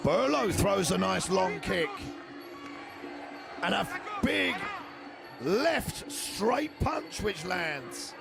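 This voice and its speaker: An older man giving live fight commentary, excitable and hoarse as he loses his voice, shouting the big moments. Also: high voice, drawn-out words, pauses often, over music, crowd noise. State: losing his voice. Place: arena.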